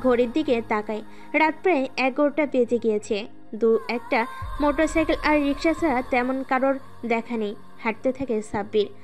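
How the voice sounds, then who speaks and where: A woman's voice: high voice, normal speed, faint music behind. A woman reading scripted story narration as the narrator of an audio tale.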